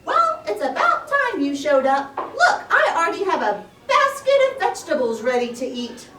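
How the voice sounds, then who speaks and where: A woman's voice: extremely high pitch, very animated, moderate pace, sharp tone, pitched above the performer's own voice, heavily acted. A woman voicing a bossy, competitive hare in a puppet story, brash and emphatic in manner. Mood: impatient. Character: boastful, impatient, fast hare, bossy and competitive. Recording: roomy echo, distant microphone.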